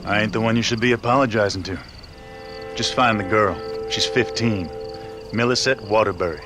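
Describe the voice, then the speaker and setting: A man's voice: fairly low pitch, normal speed, music in the background, close to an everyday voice. An actor playing a stern, weary lawman in a frontier video game, plain-spoken, humourless and reproachful. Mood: stern, grim. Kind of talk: dramatic video game acting.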